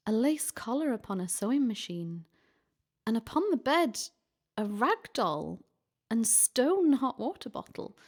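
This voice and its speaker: A woman voices an eager young woman exploring an old hut at night, full of questions. Her voice is fairly high and lively, rising with curiosity in a radio play. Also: exaggerated voice. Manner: inquisitive, easily spooked. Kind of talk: community radio play acting.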